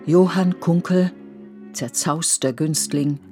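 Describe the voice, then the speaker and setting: A woman narrating a scripted literary radio play in measured, poetic storytelling. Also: deep voice, mellow. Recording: studio radio play with music underneath.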